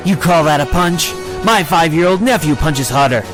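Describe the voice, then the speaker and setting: An actor playing a boastful, taunting supervillain with electric powers in an animated superhero comic. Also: male voice, on the high side, normal speed, over music, close to an everyday voice.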